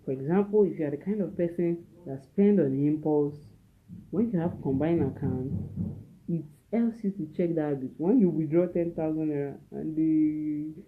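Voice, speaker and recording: Soft-spoken Nigerian woman teaching, phone-like sound. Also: sits low, normal speed. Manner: repeats key phrases for emphasis; speaking softly at home. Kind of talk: teaching talk with preaching. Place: at home. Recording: narrow phone-like audio.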